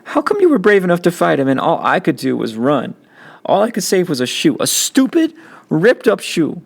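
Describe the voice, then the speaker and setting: Storybook young boy, performed by a man: raised pitch, tearful, guilty, halting and pleading. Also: huge pitch swings, gravelly, husky, heavily acted. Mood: anguished, guilty. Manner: weepy, halting, stammering pleas. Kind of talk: audiobook character acting.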